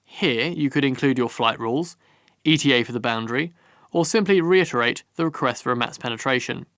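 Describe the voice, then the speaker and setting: A man reading scripted instructional narration for a training course in a measured, formal manner. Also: medium pitch, fairly bright tone, no echo, studio quality.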